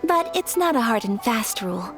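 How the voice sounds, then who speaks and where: An actress playing a courteous, soft-spoken young noblewoman in a video game. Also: fairly high pitch, big pitch swings, normal speed. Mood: gentle. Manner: soft-spoken, formal, polite. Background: game music.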